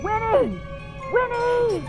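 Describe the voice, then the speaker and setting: Panicked mother actress, very high voice, urgent cries in radio horror, loud music underneath. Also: sing-song, slow, heavily acted. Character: panicked mother shouting for her daughter. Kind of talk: old-time radio horror acting.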